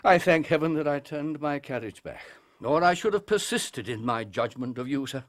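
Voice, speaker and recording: An actor playing a grave, proud, compassionate older English doctor in a radio drama, speaking in an earnest, formal, emphatic way. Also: male voice, fairly high pitch, expressive, moderate pace, close to an everyday voice. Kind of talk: British radio drama acting.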